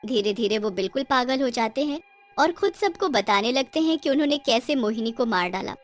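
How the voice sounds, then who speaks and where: A woman's voice: fairly high pitch, expressive, fairly quick, soft background music. A woman reading dramatic scripted narration for a cartoon horror story.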